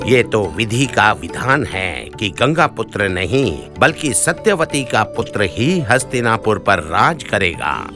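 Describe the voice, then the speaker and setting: A middle-aged fisherman chief in a mythological cartoon, a wary and protective father. His voice is fairly low and moves slowly, with big swings in intonation. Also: big pitch swings, over music, full character voice. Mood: satisfied, solemn. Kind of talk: dramatic animated mythological dialogue.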